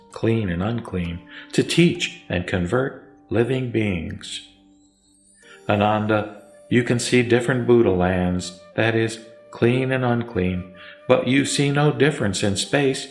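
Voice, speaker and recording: A man voicing the Buddha as a serene teacher, preaching in a solemn, measured way in a scripted sutra audio drama. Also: medium pitch, gravelly. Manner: solemn, measured sermon delivery. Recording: echoey voice over music.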